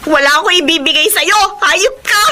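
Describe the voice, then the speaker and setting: A woman's voice: extremely high pitch, moderate pace, music in the background. A woman in a Filipino radio drama playing an earnest, emotional young housemaid who stands her ground. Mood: defiant, angry.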